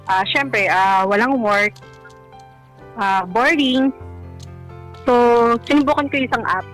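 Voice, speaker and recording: A woman's voice: a little high, slow pace, a bit bright, soft background music, rough recording. A Filipino woman calling a radio show, telling a personal story in a casual, hesitant, self-deprecating way. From Philippines.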